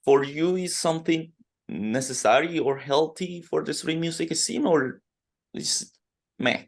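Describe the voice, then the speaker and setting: A man from Colombia interviewing a musician, asking slow, halting questions full of fillers. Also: fairly high pitch, gravelly. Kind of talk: podcast interview in second language.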